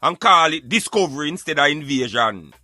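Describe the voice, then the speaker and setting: Jamaican guy hosting a radio show, colloquial social satire, bright forceful voice, really dry close sound. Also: fairly high pitch, expressive, brisk, no echo, faint music behind. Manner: emphatic, colloquial, addressing listener as brethren. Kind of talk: satirical social commentary, conversational.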